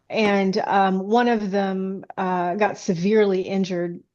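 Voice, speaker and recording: Female author guest, mid-pitched, halting conversational delivery, slightly muffled lo-fi sound. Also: a little mellow. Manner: halting, hesitant explanations. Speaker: guest author being interviewed. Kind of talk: casual interview chat. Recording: dull, band-limited audio.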